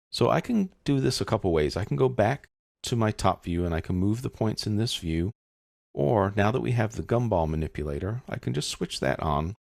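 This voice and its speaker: A man with a low voice and a gravelly fry narrates a software tutorial. He explains each step methodically in casual language. The sound is dry and close. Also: no echo.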